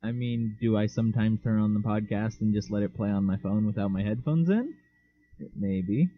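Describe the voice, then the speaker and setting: A young man co-hosting a homemade podcast, joking offhandedly and restarting his sentences. His voice is fairly low. The sound is tinny and thin, like a phone line. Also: moderate pace. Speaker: podcast co-host. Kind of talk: casual chat.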